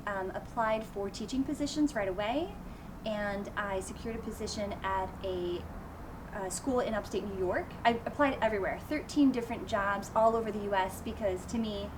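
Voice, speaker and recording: A woman teacher answering interview questions about her life in a relaxed, thoughtful way, with frequent pauses. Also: medium pitch. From United States. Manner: thoughtful, with frequent ums and light laughs. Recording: noisy background ambience.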